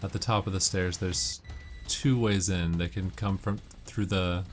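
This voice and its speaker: A man's voice: sits low, steady tone, a little slow, soft background music. A man giving casual, matter-of-fact gameplay commentary, explaining tactics as he goes. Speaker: gamer giving walkthrough tips. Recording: noisy, game gunfire behind voice. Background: video game gunfire and ambience.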